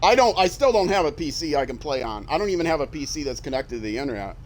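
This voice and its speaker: A man's voice: a little high, big pitch swings, fast talker, slight background noise. An older retired man with a young-sounding voice, chatting casually and rambling with many fillers as he streams a game. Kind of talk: casual livestream commentary while playing.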